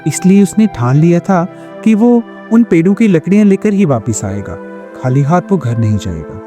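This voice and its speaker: A male storyteller reads scripted narration in a mellow tone. His pitch sits on the high side and moves expressively through each sentence. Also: very animated, normal speed, soft background music.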